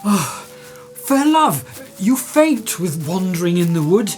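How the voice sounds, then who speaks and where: A woman playing an earnest, coaxing young man in love, in a husky voice, for a Shakespearean radio play. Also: on the low side, sing-song, slow, a little mellow, soft background music. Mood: coaxing, amorous. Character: ardent young male lover, earnest and coaxing.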